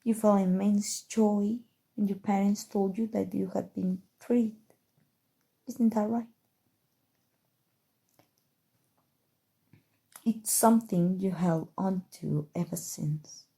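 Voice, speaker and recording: Woman acting a breathy, mellow, possessive evil sorceress. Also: mid-range voice, steady tone. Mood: tender, possessive. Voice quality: breathy, soft, often dropping to whispers. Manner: slow, soothing, deliberate, sinister affection. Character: possessive evil sorceress, softly obsessive and tender. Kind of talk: ASMR roleplay, intimate scripted monologue.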